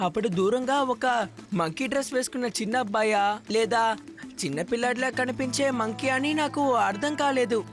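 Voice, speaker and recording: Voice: medium pitch, a little fast, lightly acted. An actor voicing a brave, earnest young boy hero who leads his friends in a children's cartoon. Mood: curious, urgent. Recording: music behind the voice.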